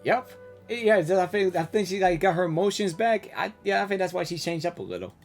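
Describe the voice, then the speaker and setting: A woman's voice: on the low side, lively intonation, brisk, a little mellow, soft background music. A woman game streamer giving casual, informal reaction commentary and talking back to the characters.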